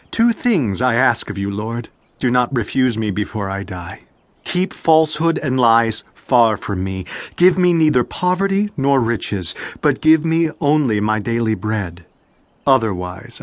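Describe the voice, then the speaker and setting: An actor playing a solemn ancient sage, reciting scripture in a theatrical, deliberate and emphatic manner in a dramatized audio Bible. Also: male voice, mid-range voice, dry recording. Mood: earnest, pleading. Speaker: Bible narrator and reader.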